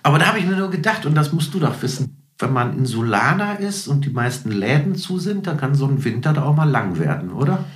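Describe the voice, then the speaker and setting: Male guest, middle-aged, mid-range voice, relaxed conversational delivery with thoughtful hesitations, recorded with noticeable room reflections. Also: medium pitch, fast, echoey room. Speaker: podcast guest, musician. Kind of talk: casual chat.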